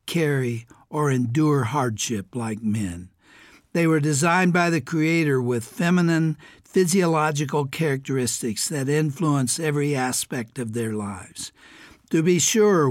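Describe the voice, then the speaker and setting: An older man from the United States, hosting a radio program, reading a prepared letter aloud. His voice is raspy, and his speech is a bit strained and unclear. He reads gravely and deliberately, stressing each item in his lists. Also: mid-range voice, no echo, studio quality.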